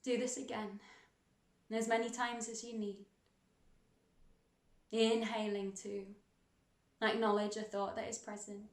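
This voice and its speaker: A woman leading a guided meditation in a gentle, soothing, unhurried manner, leaving long pauses between instructions. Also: on the high side, flat delivery. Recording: roomy, echoing sound.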